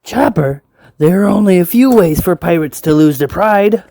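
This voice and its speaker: A woman's voice: lowish voice, expressive, normal speed, warm tone, no room sound, studio quality. An actress playing a composed, knowing female pirate in an audio drama.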